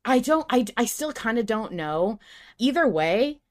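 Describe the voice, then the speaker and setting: A woman's voice: on the high side, very animated, a little slow. A woman hosting a podcast in casual fan chat, with an effusive way of talking. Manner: effusive, gushing. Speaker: podcast host.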